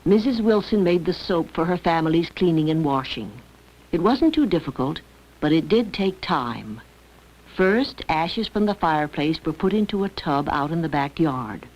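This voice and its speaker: A woman's voice: low voice, animated, normal speed, darkish tone. A woman reading scripted narration for an educational film. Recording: dull lo-fi old educational film.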